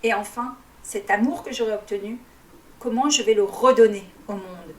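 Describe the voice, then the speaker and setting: Soft-spoken woman coach in an echoey room. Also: mid-range voice, moderate pace, distant mic. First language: Arabic. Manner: calm and didactic, with frequent hesitations. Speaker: life coach. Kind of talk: guided reflection talk.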